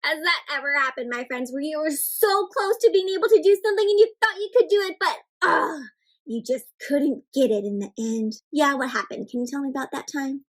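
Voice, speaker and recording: A woman's voice: high-pitched, brisk. A woman hosting an interactive picture-book read-aloud, speaking expressively to children with exaggerated gasps and frequent questions.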